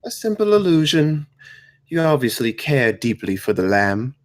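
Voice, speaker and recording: A man at a table read playing a female undead necromancer who is refined, unhurried, archly polite and casually menacing. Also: mid-range voice, very animated, natural voice. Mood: cool, assured.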